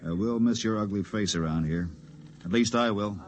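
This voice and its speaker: An actor playing a gloomy, loyal middle-aged space veteran, gruff and plain-spoken, in 1950s radio drama style. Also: male voice, fairly low pitch, moderate pace, soft background music, lightly acted. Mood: friendly. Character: gloomy, loyal alien space-service veteran, bitter toward Earthmen. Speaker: character and narrator. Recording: dull, band-limited old radio recording.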